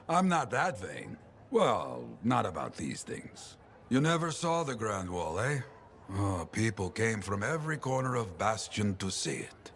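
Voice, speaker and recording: An actor playing a wistful, courteous male storyteller in a video game, reflective and gently philosophical. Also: on the low side, full character voice. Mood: genial, wry. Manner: expressive, reflective, measured. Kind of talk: video game dialogue acting. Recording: clear ambient noise behind the voice.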